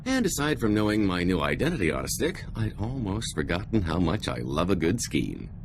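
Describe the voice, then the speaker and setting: Low, animated roguish con man. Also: brisk, noisy background. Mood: pleased, smug. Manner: wry, glib. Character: roguish, self-satisfied male con artist who loves a scheme. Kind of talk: video game voice acting.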